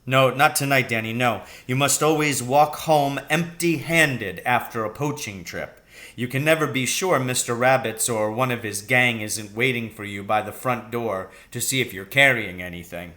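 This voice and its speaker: A man's voice: mid-range voice, normal speed, pushed and bright, close to an everyday voice. A man voicing a proud, confident poacher father who speaks in an assured, instructive way in a storybook reading.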